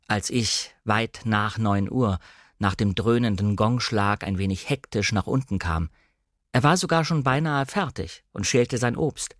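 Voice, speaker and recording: A shy and insecure young woman voiced by a man looks back in a literary first-person narration. The delivery is earnest and measured, at a medium pitch, recorded very dry and close. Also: no room sound.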